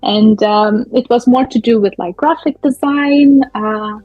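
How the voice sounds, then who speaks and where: A young woman from Lithuania, a guest speaking in a friendly, halting way with many fillers. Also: fairly high pitch, dry recording, faint music behind. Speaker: guest, business owner. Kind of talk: casual interview talk. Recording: muffled, dull audio.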